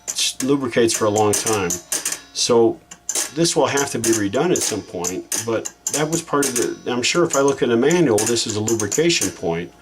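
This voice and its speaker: A man's voice: lowish voice, background noise. A man giving a casual hands-on repair demonstration, thinking aloud in an informal, folksy way as he works. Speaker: repair hobbyist hosting a how-to video.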